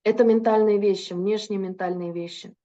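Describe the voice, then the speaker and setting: Mellow-voiced woman interpreting a lecture. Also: medium pitch, steady tone, brisk, highs cut off. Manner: fluent, with occasional hesitations and self-corrections. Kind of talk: consecutive interpretation into Russian.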